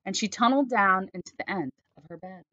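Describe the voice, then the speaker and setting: A woman's voice: mid-range voice, brisk, pushed and bright, dry recording, muffled recording. A woman narrating a children's picture book expressively, like a teacher reading aloud.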